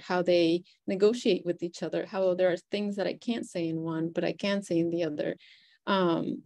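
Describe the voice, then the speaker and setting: Mellow low-voiced woman, Venezuelan accent. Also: gravelly. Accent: Venezuelan Spanish. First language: Spanish. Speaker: poet, panelist. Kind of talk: reflective panel talk.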